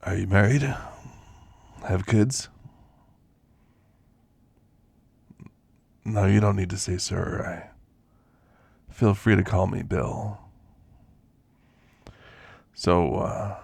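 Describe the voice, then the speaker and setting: A man playing a kindly elderly werewolf in an ASMR roleplay, with a gravelly voice and a folksy, gently chatty manner. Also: low voice, takes it slowly, pauses often, no echo, exaggerated voice. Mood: warm, teasing. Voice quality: gravelly, creaky. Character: kindly, frail elderly male werewolf, teasing and grandfatherly. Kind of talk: ASMR roleplay, intimate character monologue.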